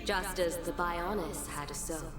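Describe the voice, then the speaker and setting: An actress playing a serene, ancient goddess in a video game, telling of the past in measured, formal, grave phrases. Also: female voice, on the low side, lightly acted. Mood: solemn. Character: serene, solemn ancient goddess, soul of a world. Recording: echoey, distant, under prominent music.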